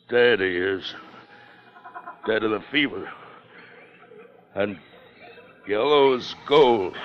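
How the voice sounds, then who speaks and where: An actor playing a fevered, gold-crazed treasure hunter in a melodramatic, raving, halting style of 1950s radio drama. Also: male voice, mid-range voice, fairly bright tone. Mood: hushed dread.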